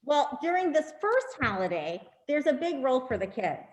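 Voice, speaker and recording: A woman's voice: sits high, very animated, moderate pace, darkish tone. A woman playing a boastful, popular holiday in a playful amateur comic reading, recorded with room echo. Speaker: performer in a congregation's reader's theater. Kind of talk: amateur comic scripted monologue. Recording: echoey room sound.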